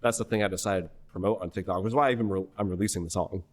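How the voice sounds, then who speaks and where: A young man from New Hampshire, a singer-songwriter guest in a podcast interview, telling a story in a rambling, animated way full of restarts. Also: sits low, rapid-fire. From New Hampshire, USA. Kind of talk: casual conversational interview.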